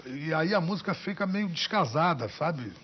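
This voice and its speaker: Laid back guy chatting about his songs, unhurried, muffled studio sound w a bit of hiss. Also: on the high side, lively intonation. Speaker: songwriter and poet guest. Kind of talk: relaxed interview talk. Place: television studio.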